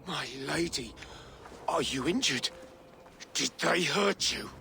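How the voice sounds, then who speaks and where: A man's voice: a little high, animated, takes it slowly, vocal fry, pushed and bright, slight background noise, heavily acted. An actor playing a proud, embittered captive king, haughty and dramatic, in a video game cutscene. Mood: concerned.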